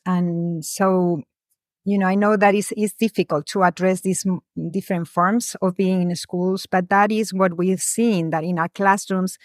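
A woman from Spain, a researcher answering interview questions in a measured, thoughtful way, pausing often. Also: medium pitch, dry recording, clean studio sound. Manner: measured, thoughtful, frequent "um" pauses. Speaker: guest, education researcher.

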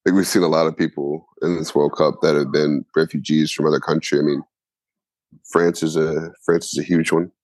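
Very deep-voiced American man, casual and dry. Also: little pitch movement, fairly quick, a little mellow, dry recording, rough recording. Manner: informal, colloquial. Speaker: podcast co-host and soccer coach. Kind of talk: casual sports discussion.

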